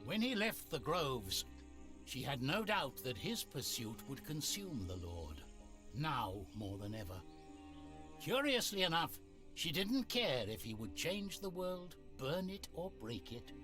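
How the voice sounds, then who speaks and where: Wry storyteller narrating a fantasy game saga, very animated, music behind. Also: male voice, on the high side, a little slow. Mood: wry, ominous. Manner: theatrical, literary, wry.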